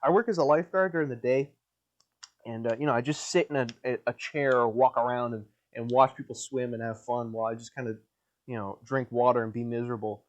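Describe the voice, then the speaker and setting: A young man with a nasal voice rambles alone into the mic, deadpan and crude, stumbling over his words. He sounds dry-mouthed and a bit coughy, like he's been sweating in a hot basement all day. His pitch sits right in the middle for a man. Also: brisk, highs cut off. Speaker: podcast host. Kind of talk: solo rambling podcast monologue.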